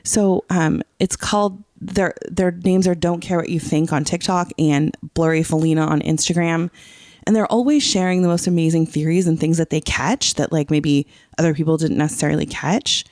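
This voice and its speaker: A woman's voice: low-pitched, steady tone, moderate pace, darkish tone, no echo, clean studio sound. A middle-aged woman co-hosting a podcast in an effusive, informal way, often saying "like" as she chats. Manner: effusive, informal, peppers talk with "like". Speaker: podcast co-host. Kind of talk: casual podcast chat.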